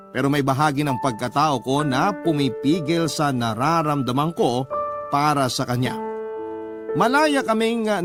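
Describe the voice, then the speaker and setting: Lighter-voiced man narrating a love story. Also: fairly high pitch. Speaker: radio storyteller and host. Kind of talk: scripted first-person story narration. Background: clear background music.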